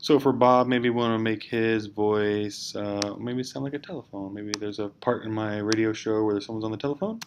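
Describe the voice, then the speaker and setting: A man's voice: lowish voice, fairly even intonation, moderate pace, hardly any pauses. A man narrating a casual instructional screencast, explaining step by step in an informal, hesitant way. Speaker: teacher giving software tutorial. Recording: clear background noise.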